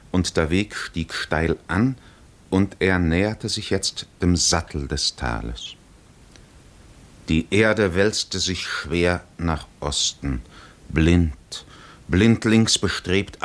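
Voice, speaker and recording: Solemn epic narrator, really slow n low, poetic, old fifties radio play. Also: male voice. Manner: deliberate, solemn, poetic delivery. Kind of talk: 1950s radio drama literary narration.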